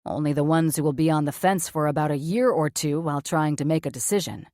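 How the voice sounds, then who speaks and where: A cocky, no-nonsense male surgeon from an audiobook, as a woman narrator puts him on, dropping curses with cool, flat certainty. The voice sits low, and the recording is close and dry with no room sound. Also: fast, dry recording, natural voice. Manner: profane, blunt, matter-of-fact. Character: blunt cocky male surgeon, adult man.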